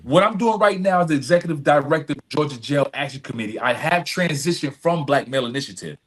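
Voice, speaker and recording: A middle-aged man with a slightly high-pitched voice speaks as a guest in a conversational interview. He is earnest and emphatic, repeating key phrases and tagging his points with a quick "right?". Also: animated. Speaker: podcast guest, nonprofit executive director.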